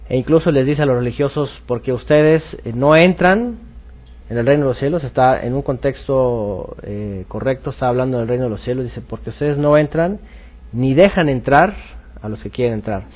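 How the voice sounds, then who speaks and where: A man teaching scripture informally, explaining haltingly and often repeating himself. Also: mid-range voice, phone-quality sound. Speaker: religious teacher.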